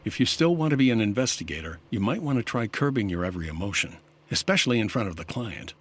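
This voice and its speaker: A low-voiced, deadpan radio detective. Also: male voice, fast, slightly rough, full character voice. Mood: dry, businesslike. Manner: deadpan, wry. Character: dry, world-weary hardboiled male insurance investigator. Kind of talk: 1950s radio detective acting.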